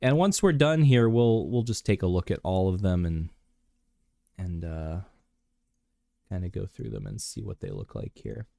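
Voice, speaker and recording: A man streaming live and chatting casually while sick, clearing his throat, rambling with many fillers and restarts. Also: deep voice, big pitch swings, moderate pace, long pauses, warm tone, no echo, clean studio sound.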